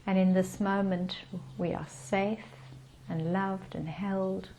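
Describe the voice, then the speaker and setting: A woman leading a guided meditation in a calm, soothing manner with gentle pauses. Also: mid-range voice, a little hiss or hum.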